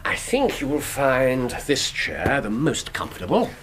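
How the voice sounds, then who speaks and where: A British actor playing a shrewd, courteous, self-assured Victorian detective in a radio drama, with precise, theatrical, formal phrasing. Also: male voice, mid-range voice, huge pitch swings, measured pace, background noise. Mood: courteous, knowing.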